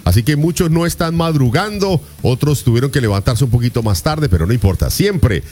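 A man's voice: medium pitch, lively intonation, a little fast, darkish tone. A man hosting a live morning radio call-in show, chatting and reading out announcements with a sing-song announcer delivery.

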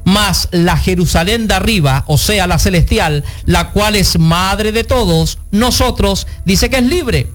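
High-voiced male radio co-host. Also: a little slow, few pauses, soft background music. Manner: reads verses with rising emphasis. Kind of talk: reading and explaining scripture.

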